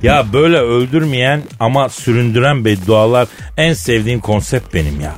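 Male radio co-host, lively swooping intonation, colloquial teasing banter, faint music underneath. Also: mid-range voice, moderate pace. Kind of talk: casual comic banter. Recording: music bed behind the voice.

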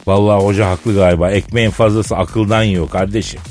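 A man's voice: lowish voice, fairly even intonation, fast, a little mellow. A man co-hosting a radio show in casual comic banter, colloquial and teasing, given to mock indignation. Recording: music bed behind the voice. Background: music.

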